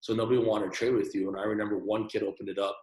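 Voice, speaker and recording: A man's voice: lowish voice, little pitch movement, very fast, a little mellow, echoey room. A man born in Taiwan, a podcast guest, telling his story earnestly in a casual interview and often repeating words as he starts sentences.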